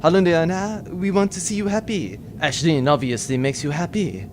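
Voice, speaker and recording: A man's voice: a little high, moderate pace, noisy background, close to an everyday voice. A man playing an affectionate, teasing crewmate in a sci-fi audio drama. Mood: teasing, coaxing. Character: teasing, affectionate French crewmate urging on his friend.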